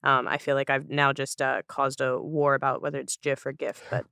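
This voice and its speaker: Clear, smooth-voiced podcast host. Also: mid-range voice, monotone, normal speed, pushed and bright, no echo, studio quality. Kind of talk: casual interview chat.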